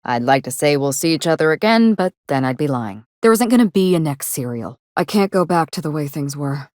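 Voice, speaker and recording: A woman playing a proud, wry, grieving actress turned quietly ruthless avenger in a video game, speaking in a dry, measured, wistful way with formal phrasing. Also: low voice, animated, dry recording. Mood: resigned, melancholy. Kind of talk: video game dialogue acting.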